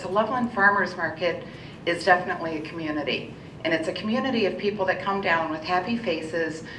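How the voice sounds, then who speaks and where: A middle-aged American woman giving a public comment speech as a local business owner in a meeting room. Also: a little fast. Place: indoor council meeting room. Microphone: gooseneck podium microphone. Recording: roomy, distant mic, low rumble and noise.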